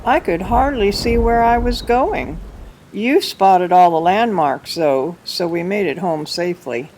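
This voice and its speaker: A woman voicing an excitable young boy pelican in an expressive read-aloud of a children's picture book. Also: on the low side, unhurried, a little hiss or hum, rough recording. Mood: fond, excited. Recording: music behind the voice.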